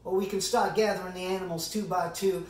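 Guy doing a middle-aged lawyer giving a big earnest courtroom speech, pretty high voice for a man, dramatic pauses, far from the mic. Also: fairly even intonation, close to an everyday voice. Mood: grave, pleading. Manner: deliberate, dramatic pauses, emphatic oratory. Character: earnest, impassioned male Southern defence lawyer, middle-aged. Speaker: amateur actor performing a monologue. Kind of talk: theatrical courtroom summation monologue. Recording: roomy echo, distant microphone.

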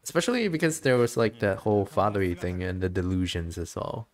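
Low-voiced man streaming a game. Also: sing-song, a little fast. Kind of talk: casual gameplay commentary.

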